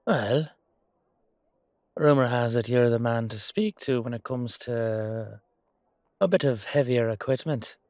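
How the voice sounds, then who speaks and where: Man playing a slow-talking, wry, weary knight. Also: mid-range voice, a little mellow, no echo, clean studio sound, lightly acted. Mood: casual, bluffing. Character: humble, weary young male knight, wry and loyal. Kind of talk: amateur fantasy audio roleplay acting.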